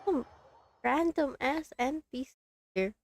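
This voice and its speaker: High-voiced woman reacting to a game. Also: measured pace, vocal fry, darkish tone, dry recording. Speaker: game streamer. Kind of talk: casual reaction commentary over gameplay. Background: game dialogue and music.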